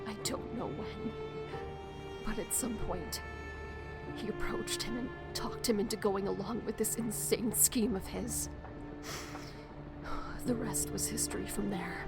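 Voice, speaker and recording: A woman's voice: medium pitch, a little slow, pauses often, over loud music, natural voice. A woman playing a guilt-ridden, defiant young rich heiress in an audio drama, intense and emotional. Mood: subdued, regretful.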